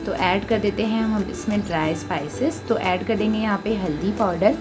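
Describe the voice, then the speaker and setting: Indian female home-cook host, mid-pitched instructional recipe narration, prominent music bed underneath. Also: moderate pace. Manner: instructional, addresses listeners as friends often. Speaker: home cooking video host. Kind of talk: recipe voice-over, step-by-step instruction. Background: prominent background music.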